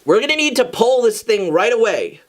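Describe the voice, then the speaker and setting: Man doing a squeaky, eager teenage girl. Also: big pitch swings, normal speed. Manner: squeaky, pleading.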